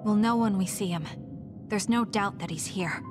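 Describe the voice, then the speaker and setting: A woman's voice: mid-range voice, moderate pace, close to an everyday voice. A woman voicing a sober, straightforward soldier in an anime game, serious and direct. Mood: grave. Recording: music behind the voice.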